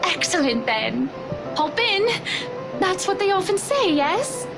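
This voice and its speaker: High-voiced, genteel, playful lady. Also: big pitch swings, slow, exaggerated voice. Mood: gracious, brisk. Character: playful, teasing, genteel married woman named Hannah. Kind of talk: visual novel game dialogue. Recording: music behind the voice.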